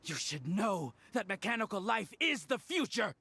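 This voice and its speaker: A man's voice: sits high, sing-song, normal speed, bright, forceful tone, slightly rough, dry recording, exaggerated voice. A man voicing a fanatical, resentful researcher in a video game, theatrical and accusing. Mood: bitter raving. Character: fanatical, resentful male mad scientist.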